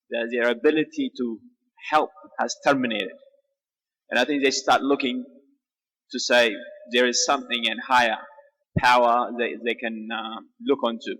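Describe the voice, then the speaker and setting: An earnest, deliberate man asking a personal question from the floor at a public debate. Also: medium pitch, fairly bright tone. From born in Ethiopia. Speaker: audience questioner. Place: large town hall. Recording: echoey large hall.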